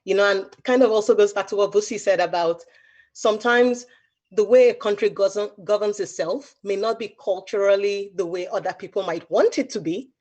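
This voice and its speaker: A woman with a Nigerian accent, a photographer speaking as a panel guest with lively storytelling. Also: medium pitch, normal speed. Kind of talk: casual panel talk.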